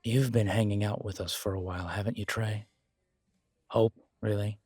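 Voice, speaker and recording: A man playing a loyal young male werewolf alpha in an audio roleplay, wry and protective, with a low-key, halting delivery. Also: fairly even intonation, a little mellow, breathy edge, dry recording, studio quality. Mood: wary, resigned.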